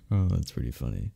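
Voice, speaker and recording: Man playing a flirty, low, gravelly charmer. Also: warm tone, exaggerated voice. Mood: amused, teasing. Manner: teasing, reassuring, chuckles between phrases. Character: flirty, friendly male cuddle club member showing a newcomer around. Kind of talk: ASMR romance roleplay, one-sided conversation.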